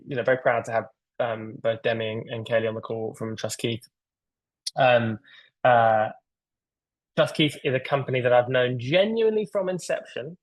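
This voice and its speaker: A man hosts a webinar over a video call, chatting casually and enthusiastically with plenty of fillers and restarts. His voice sits at a middle pitch and sounds dry and close. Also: dry recording, rough recording. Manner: rambling, filler-heavy, enthusiastic. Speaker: webinar host.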